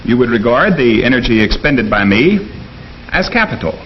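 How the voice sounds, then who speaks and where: An actor playing an earnest American professor in a 1950s educational film, speaking in a formal, deliberate, didactic way. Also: male voice, medium pitch, sing-song, close to an everyday voice. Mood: earnest, instructive. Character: earnest male economics professor lecturing his class. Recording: dull, noisy 1950s film soundtrack.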